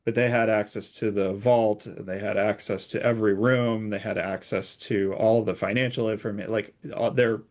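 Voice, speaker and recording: A man's voice: on the low side, steady tone, normal speed, few pauses, a little mellow. A man speaking as an expert guest in an interview, explaining technical concepts through hypothetical examples. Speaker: expert guest, security researcher.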